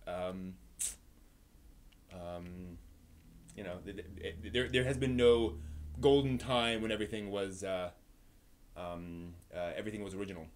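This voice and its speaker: A man hosting a live stream in casual, halting chat, circling back over his phrases. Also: low voice, slight background noise. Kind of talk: casual rambling chat about anime.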